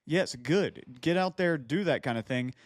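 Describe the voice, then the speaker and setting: A man's voice: on the high side, animated, normal speed, no room sound, studio quality. A man co-hosting a casual podcast, riffing playfully in conversation. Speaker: podcast co-host.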